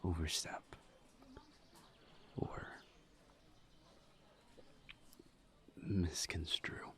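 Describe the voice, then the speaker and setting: A man playing a shy, polite incubus student in an intimate audio roleplay, speaking softly and haltingly. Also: low voice, fairly even intonation, in a whisper, heavily acted. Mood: careful, earnest. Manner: hesitant, halting, awkward small talk. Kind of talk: audio roleplay acting, intimate one-sided conversation.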